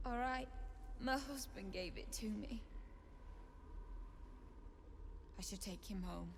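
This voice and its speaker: High-voiced grieving young widow. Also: female voice, creaky. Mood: subdued, grieving. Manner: halting, anguished, self-blaming. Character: grieving young widowed mother-to-be, desperate and bitter. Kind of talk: dramatic fantasy video game acting. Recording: reverberant game audio with faint ambient noise.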